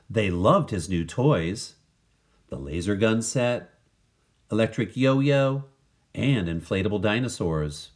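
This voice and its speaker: Male storybook narrator, lowish voice, unhurried and highly animated in pitch. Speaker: storybook reader. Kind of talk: expressive scripted children's story narration.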